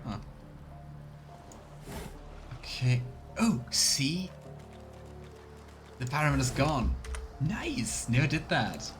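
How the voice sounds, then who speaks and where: A man's voice: medium pitch, sing-song, very slow, long pauses, a bit bright. A man giving casual let's play commentary, thinking aloud and murmuring to himself as he plays. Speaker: streamer playing a fantasy role-playing game. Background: game music.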